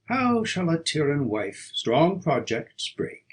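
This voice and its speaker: Slow, expressive man reciting poetry. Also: on the high side. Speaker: audiobook reader.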